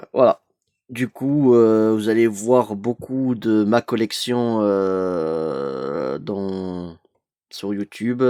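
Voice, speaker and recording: Young male vlogger, stuffy from a cold, unhurried and hesitant, dry close sound. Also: fairly low pitch, dark tone, no room sound. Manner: hesitant, many "euh" fillers, chatty. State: has a cold. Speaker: YouTube collector opening an advent calendar. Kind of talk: casual unboxing vlog.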